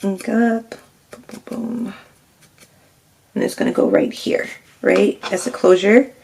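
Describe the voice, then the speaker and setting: Gravelly stuffy-nosed lady w a lilt doing a craft tutorial, keeps going "right?". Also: medium pitch, steady tone, slow pace, a little mellow. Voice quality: slightly nasal and stuffy. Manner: chatty, narrates each step, keeps asking "right?". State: scratchy throat from allergies. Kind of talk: casual step-by-step crafting demo.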